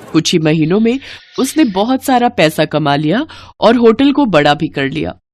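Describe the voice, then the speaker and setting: A woman's voice: lowish voice, darkish tone. A woman storyteller reading scripted narration for a moral storybook tale.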